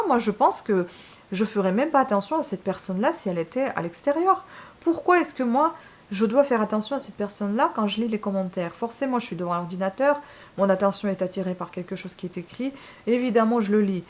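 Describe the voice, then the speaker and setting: A woman with a Ukrainian accent speaks French in a casual vlog, addressing her viewers directly. She hesitates often, restarting sentences and filling gaps with "euh", and her tone is frank and a bit fed up. Her voice sits at a middle pitch for a woman. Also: animated, a little fast. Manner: hesitant, many fillers and restarts, frank and exasperated. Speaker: booktube channel host.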